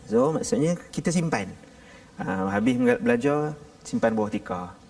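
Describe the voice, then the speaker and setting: A man speaking as a guest religious teacher in a casual studio discussion, chatty and animated, laughing easily. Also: a little high, moderate pace, gravelly, recorded from a distance. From Malaysia.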